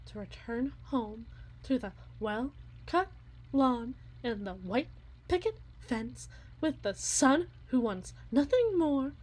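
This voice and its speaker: A woman's voice: high voice, expressive, slow, a little mellow, breathy edge, full character voice, low-quality recording. A woman playing a bitter, unhinged, anguished wife in a dramatic ASMR roleplay monologue, theatrical and moving between whispers and intense outbursts. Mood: resentful, sardonic.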